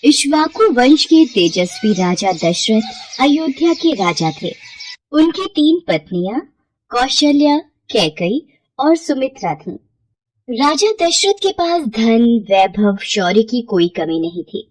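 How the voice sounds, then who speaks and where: A woman's voice: on the high side, sing-song, takes it slowly, breathy edge, poor audio. A woman narrating a scripted devotional story as a storyteller.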